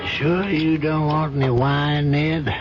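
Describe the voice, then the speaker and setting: An actor playing a calm, patient older judge in a radio drama, speaking in a measured, fatherly way. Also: fairly high pitch, over music. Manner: measured, fatherly, gently probing. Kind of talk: 1970s radio drama acting. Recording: dull old radio broadcast, faint hiss.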